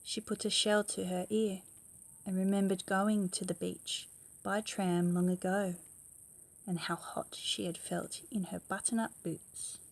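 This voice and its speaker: A woman reads a children's picture book as a bedtime storyteller. Her voice sits at a medium pitch. She leans on key words expressively and speaks to a young listener, sometimes with a question. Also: little pitch movement, a little slow.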